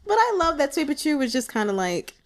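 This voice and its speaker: A woman talking casually through her notes on a book, in a chatty, giggly and animated manner. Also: high-pitched. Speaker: audiobook reader and book commentator.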